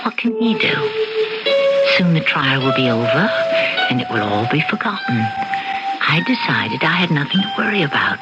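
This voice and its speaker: Scheming wife, poised, evasive, dramatic, old radio drama, musical backing, muffled recording. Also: female voice, deep voice, big pitch swings, normal speed, loud music behind the voice. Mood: haunted, confessional. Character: cool, evasive housewife scheming to get away with murder. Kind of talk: old-time radio mystery acting.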